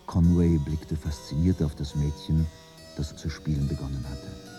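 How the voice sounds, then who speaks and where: A man narrating a literary radio drama in German in a measured, solemn, composed way. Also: extremely low pitch, steady tone, mellow, soft background music.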